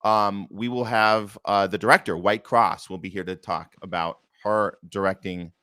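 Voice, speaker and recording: An American man with a lowish voice hosts a casual arts community show. He talks in an informal, enthusiastic way, and the sound is close and dry. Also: fairly even intonation, normal speed, sharp tone, clear, resonant tone, no room sound. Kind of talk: casual chat with announcements.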